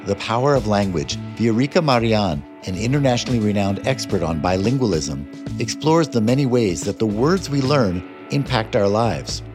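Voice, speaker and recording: A man reading a polished, scripted introduction as the show's announcer. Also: on the low side. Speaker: show announcer. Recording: music bed under voice.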